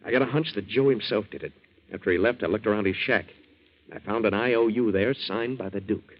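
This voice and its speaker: An actor playing an earnest, plain-spoken young American boat hand in a 1940s radio drama. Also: male voice, fairly low pitch, a little fast, close to an everyday voice. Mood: earnest explaining. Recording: muffled 1940s radio broadcast.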